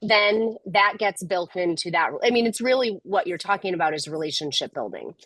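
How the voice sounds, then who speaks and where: A middle-aged woman speaking as a podcast guest, candid and measured, often restarting her sentences. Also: fairly low pitch, expressive, fairly bright tone, no room sound. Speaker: podcast guest, tech executive. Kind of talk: casual interview conversation.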